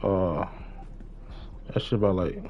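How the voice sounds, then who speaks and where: A man's voice: low-pitched, slow, a little mellow, slightly rough, muffled recording. A young adult man chatting casually in street slang as he streams live gameplay. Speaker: game streamer.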